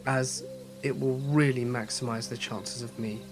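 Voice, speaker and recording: Guy reading creepy stories on his channel, voice a bit off from a cold, calm steady read, soft music low in the back. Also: measured pace. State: slightly off from a cold. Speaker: horror story narrator and channel host. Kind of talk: scripted horror story narration.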